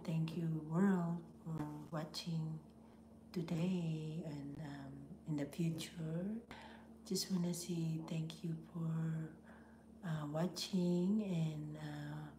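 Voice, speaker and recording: A middle-aged woman with a Filipino accent, hoarse from laryngitis, hosting a casual live stream from home with slow, half-whispered greetings. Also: low-pitched, echoey room, background noise. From Philippines. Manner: breathy, half-whispered, slow with frequent pauses and throat clearing. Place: small home office room indoors.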